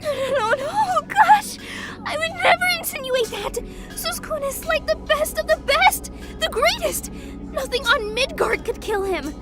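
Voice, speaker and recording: A woman's voice: very high voice, lively intonation, measured pace, over loud music. A woman voicing an anxious, lovestruck fox girl in an audio drama, whiny and youthful in her phrasing. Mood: frantic pleading.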